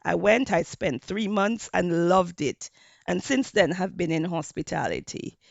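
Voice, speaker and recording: A woman from Jamaica with a Jamaican accent, a hospitality professor giving measured interview answers with frequent fillers. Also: on the low side, vocal fry, dry recording, lo-fi sound.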